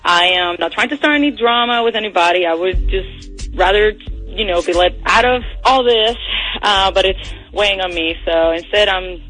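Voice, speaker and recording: Young female radio caller, medium-pitched, confessional and conversational, hesitant, faint music behind. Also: moderate pace, fairly bright tone, poor audio. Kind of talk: casual confessional storytelling.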